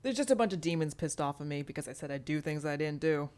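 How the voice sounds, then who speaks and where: A woman's voice: low voice, expressive, fast, a little mellow. A woman voicing a cocky, sarcastic young male magician superhero in an audiobook, flippant and teasing.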